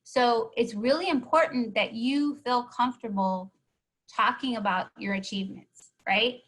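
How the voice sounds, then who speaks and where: A woman's voice: a little high, unhurried, fairly bright tone, poor audio. Encouraging woman leading a workshop. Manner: encouraging, keeps asking "right?". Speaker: workshop presenter. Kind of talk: teaching a live online workshop.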